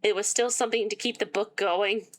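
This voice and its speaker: Woman reading a game's story aloud between dry asides, voice wearing thin; mid pitch. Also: fairly even intonation, brisk. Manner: reads game text aloud and breaks off with sarcastic asides. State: losing her voice. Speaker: gaming YouTuber. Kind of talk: reading a visual novel aloud with commentary.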